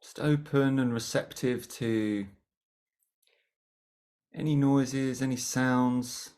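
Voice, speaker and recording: Gentle meditation teacher, medium pitch, slow guided practice w/ long pauses between phrases. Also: steady tone.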